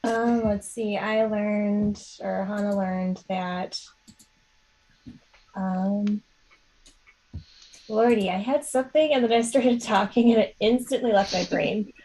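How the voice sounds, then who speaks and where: A woman's voice: medium pitch, steady tone, slow, long pauses, darkish tone, low-quality recording. A woman player on a tabletop game show chatting casually in a hesitant, scattered way. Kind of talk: casual recap chat.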